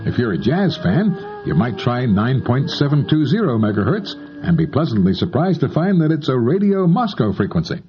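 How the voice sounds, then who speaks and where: A man's voice: mid-range voice, very animated, normal speed, hardly any pauses, dull audio, over music. A man giving scripted explanatory narration in a conversational way, speaking straight to the listener. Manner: conversational, addressing the listener directly. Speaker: narrator of an instructional tape on shortwave listening.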